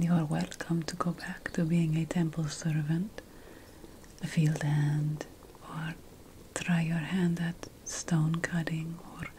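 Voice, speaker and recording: A woman playing an ancient, wry and fussy witch mentor in a slow, breathy whispered ASMR fantasy roleplay. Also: deep voice, a little mellow, slight background noise, rough recording. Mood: wry, coaxing. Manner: slow, deliberate, wry, theatrical. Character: ancient Mesopotamian witch mentor, teasing, fussy about plant remedies.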